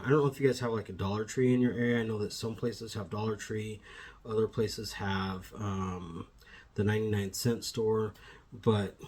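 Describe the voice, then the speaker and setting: Rambling low-voiced man vlogging. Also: a little mellow, husky. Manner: rambling, self-correcting, friendly address to viewers. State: sniffly from allergies. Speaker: plant shop co-owner and vlogger. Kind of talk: casual how-to vlog. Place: indoors at home among plants. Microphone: phone camera mic.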